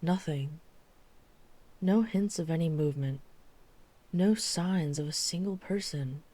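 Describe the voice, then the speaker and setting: Woman acting a guarded vampire hunter lady, low soft-edged dark voice, serious and measured, asmr audio drama. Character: guarded, weary female vampire hunter who is herself a vampire.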